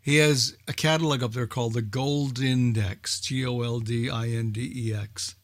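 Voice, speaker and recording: A man's voice: medium pitch, steady tone, moderate pace, dry recording. Man chatting casually in a dry, close sound. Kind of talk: casual group conversation.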